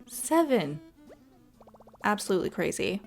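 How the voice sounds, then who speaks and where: A woman's voice: medium pitch, big pitch swings, a little slow, gravelly, darkish tone, faint music behind. A woman giving casual, chatty gameplay commentary in an informal way. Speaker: gaming video host.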